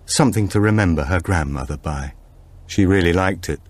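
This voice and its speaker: A man's voice: low voice, fairly quick, darkish tone, no room sound. A man reading an audiobook, voicing a brusque, difficult older woman who talks in a curt, dismissive way. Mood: matter-of-fact.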